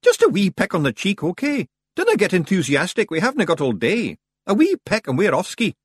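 A man's voice: high-pitched, huge pitch swings, a little fast, dry recording, voice pushed up, exaggerated voice. A man doing Rob Anybody, a brash little Scottish fairy. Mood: boisterous. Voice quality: loud, rough, hearty. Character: small wild male Scottish fairy warrior, brash chieftain.

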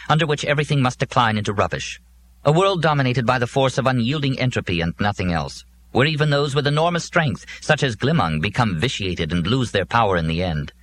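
A man's voice: mid-range voice, fairly bright tone, slight background noise, voice pushed up. A man voicing a dour, scornful robot servant in a science fiction audiobook, making formal, ominous pronouncements.

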